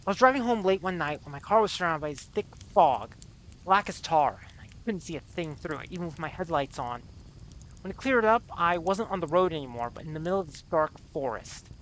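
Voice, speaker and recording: A man's voice: a little high, a bit bright. A man playing a stranded American auto mechanic in an audio drama, giving a wry, weary, rambling monologue as a radio broadcast. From United States. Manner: wry, sardonic, rambling, profane.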